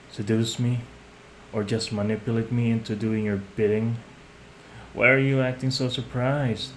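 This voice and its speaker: A man playing a young mafia heir in an audio roleplay, measured and polite on the surface, condescending and manipulative underneath. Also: lowish voice, natural voice. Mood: suspicious, accusing. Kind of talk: scripted audio roleplay addressed to the listener. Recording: roomy echo, some background noise.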